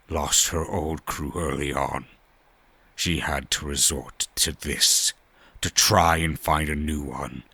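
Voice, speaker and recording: A man playing a weary, regretful war survivor, speaking solemnly and gravely in a husky voice. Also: extremely low pitch, sharp tone, no echo, heavily acted. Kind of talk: dramatic character monologue.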